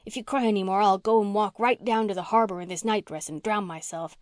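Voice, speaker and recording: A woman reading an audiobook gives voice to a scrappy, slangy orphan girl who talks bluntly and flares up quickly. Her rough country speech comes across dry and close. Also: mid-range voice, steady tone, dry recording, natural voice. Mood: remorseful.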